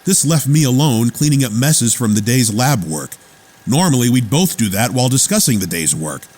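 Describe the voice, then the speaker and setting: An actor playing a loyal, watchful lab assistant who narrates earnestly in a radio theater drama. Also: male voice, medium pitch, sing-song, moderate pace, a little mellow. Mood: wry. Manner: earnest, plain storytelling. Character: loyal, watchful male lab assistant recounting his boss's life. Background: music.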